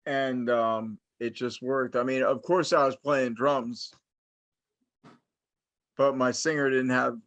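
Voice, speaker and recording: A man's voice: mid-range voice, a bit bright, no echo. A middle-aged man from New Jersey hosting a casual interview, telling long stories with many restarts and fillers. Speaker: host, interviewer.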